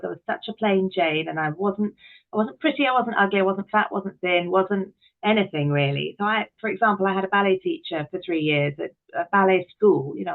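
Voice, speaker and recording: A middle-aged British woman chatting candidly and with self-deprecation as an interview guest, reflecting on her own experiences. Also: mid-range voice. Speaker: podcast guest, actress, director and writer. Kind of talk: conversational interview, reflective personal anecdotes. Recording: thin phone-like call audio.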